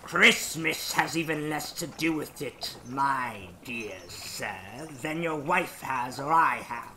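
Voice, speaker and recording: A man's voice: fairly high pitch, sharp tone, heavily acted. An actor playing a bitter, miserly old businessman in a radio drama, curt, scornful and sarcastic. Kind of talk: stage-style radio drama acting.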